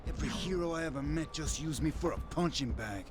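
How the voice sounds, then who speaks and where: A man's voice: a little high, expressive, fast, background noise, natural voice. An actor voicing a bitter, distrustful male street gang member in a video game cutscene. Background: music.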